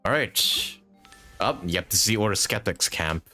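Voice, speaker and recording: A man livestreaming a game with casual commentary, halting and often interrupting himself. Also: lowish voice, animated, sharp tone, faint music behind. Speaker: game streamer.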